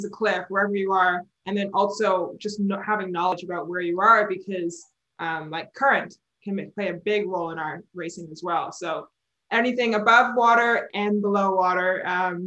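A woman's voice: medium pitch, moderate pace, a bit bright, lo-fi sound. Brief: sailor from Barbados in her twenties, chatty interview guest on a video call, roomy home audio. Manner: chatty, explains with hand gestures, laughs often. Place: indoor room at home.

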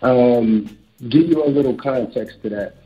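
A man in his thirties talks candidly about himself in a relaxed interview, his voice sitting at an ordinary middle pitch. The audio is dull and lo-fi, with faint music playing underneath. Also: measured pace. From Chicago, USA. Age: 30s. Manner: candid, reflective, speeds up when quoting himself. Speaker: author and real estate broker. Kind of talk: casual interview conversation.

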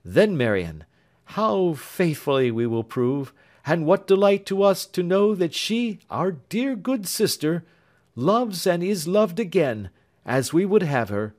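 A man's voice: high voice, sing-song, slow, darkish tone, voice pushed up, exaggerated voice. An older-sounding male reader voicing an earnest, affectionate young man, speaking eagerly and with feeling. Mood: fervent.

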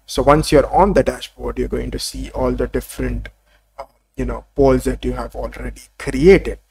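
Gravelly man walking through software. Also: medium pitch, fairly even intonation, moderate pace, a crowd in the background. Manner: casual, many fillers and small apologies. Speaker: software tutorial presenter. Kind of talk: screen-recorded software walkthrough.

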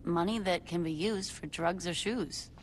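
An actress playing a flippant, laid-back young stoner gang member in a video game, casual and slangy. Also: female voice, lowish voice, unhurried, slight background noise, lightly acted. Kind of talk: video game cutscene acting.

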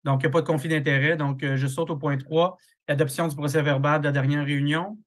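A man's voice: fairly high pitch, steady tone. A man chairs a formal meeting over a video call, moving through procedure with frequent fillers. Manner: procedural, halting with many fillers.

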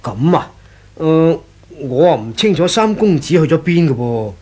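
A man's voice: fairly high pitch, very animated, unhurried, darkish tone. A man playing a deferential, meddling household butler in a Cantonese radio drama, drawling and hesitant, with a Hong Kong accent. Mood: obliging, hesitant. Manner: drawling, obsequious, full of hesitant interjections.

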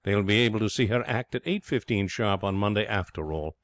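A man's voice: fairly low pitch, a little fast, no echo, close to an everyday voice. A man voicing a breezy, self-satisfied young schemer in a comic story, florid and cajoling with cheerful pomposity. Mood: grandly enthusiastic. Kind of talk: storybook character voice in comic fiction reading.

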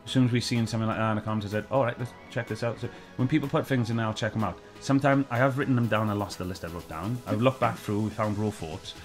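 Fast-talking Welsh man on a sofa. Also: fairly low pitch, steady tone, faint music behind. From Wales. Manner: rambling, repeats himself, many fillers. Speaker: host. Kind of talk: casual review chat. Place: living room, seated on a sofa.

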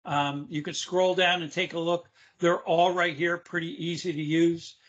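Male webinar presenter on a video call, slightly hoarse, loose and chatty with fillers, high bright voice. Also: level tone, normal speed, dull audio. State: losing his voice, hoarse. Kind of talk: casual screen-share demo.

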